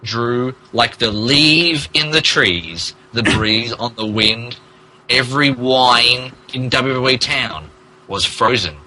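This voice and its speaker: A man's voice: mid-range voice, slow, bright, forceful tone, low-quality recording. A man narrating a comic dramatic reading of fan fiction in a theatrical, emphatic manner. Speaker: podcast host reading as narrator.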